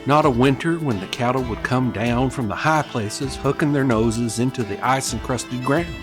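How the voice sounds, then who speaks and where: A man giving measured, poetic narration for an old-time Western radio play. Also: mid-range voice, natural voice. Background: guitar music.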